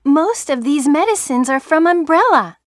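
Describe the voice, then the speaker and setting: A woman's voice: high voice, lively intonation, normal speed, dry recording, studio quality. An actress playing an eager young female medic in a stilted nineties video game. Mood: earnest, concerned.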